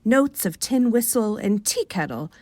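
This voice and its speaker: A woman with a Rochester, New York accent reading a short story for radio in an expressive, wry manner. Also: medium pitch, unhurried, no echo. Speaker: author reading aloud.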